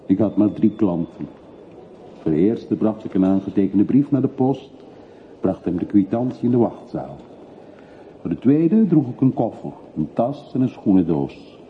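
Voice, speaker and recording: A man's voice: on the low side, sing-song, moderate pace. An actor playing a brooding middle-aged man back from long exile in a radio play, terse, evasive and reflective. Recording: muffled phone-like old radio recording, faint hiss.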